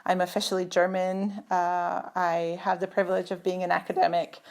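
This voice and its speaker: A woman's voice: fairly low pitch, level tone, moderate pace, gravelly. Woman guest, middle-aged, from Turkey; thoughtful, filler-heavy delivery on a video call. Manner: thoughtful, many fillers and restarts. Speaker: guest, computer scientist. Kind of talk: conversational interview.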